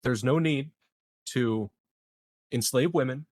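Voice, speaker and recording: A man playing a populist political candidate, giving grave, deliberate oratory against corruption in a tabletop game. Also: mid-range voice, a little mellow, no echo. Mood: solemn accusing.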